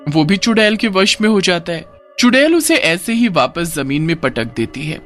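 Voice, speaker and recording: High-pitched, animated male story narrator. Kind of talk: scripted dramatic narration.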